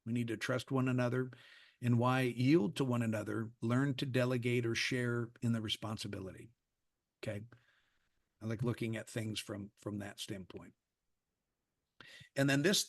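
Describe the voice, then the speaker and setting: A man's voice: mid-range voice, no room sound, studio quality. A man running a virtual training session on a video call, talking loosely with many "you know" fillers. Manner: relaxed, filler-heavy, says "you know" often. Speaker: training facilitator.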